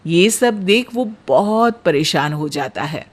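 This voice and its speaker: A woman's voice: lowish voice, lively intonation, slow. A woman narrating a scripted cartoon story as a storyteller.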